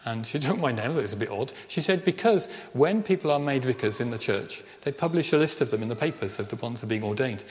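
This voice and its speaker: A middle-aged male vicar with a Birmingham accent tinged by Welsh Ceredigion vowels, preaching in an earnest storytelling voice. His voice sits at an ordinary pitch, and he slows right down to stress key phrases. A long church reverb trails after each line. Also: lively intonation. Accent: Birmingham with Welsh Ceredigion touches. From Birmingham, England. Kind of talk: sermon with personal story.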